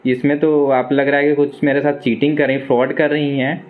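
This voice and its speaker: A man's voice: mid-range voice, level tone, a little fast. Insistent man, thin phone-like sound. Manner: repetitive, insistent. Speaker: video creator recording a live phone call with a suspected scam caller. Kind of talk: argumentative phone conversation.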